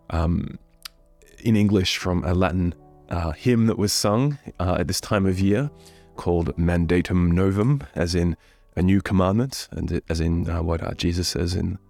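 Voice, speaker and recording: Hoarse, deep man leading prayer. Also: unhurried, a little mellow, soft background music. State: hoarse from a head cold. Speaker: church minister hosting a prayer podcast. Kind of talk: leading liturgical prayer and spoken reflection.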